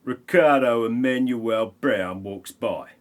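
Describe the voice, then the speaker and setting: A man's voice: mid-range voice, takes it slowly. Male narrator, mid-range voice, wry and mocking, reading stage action for a parody audio play.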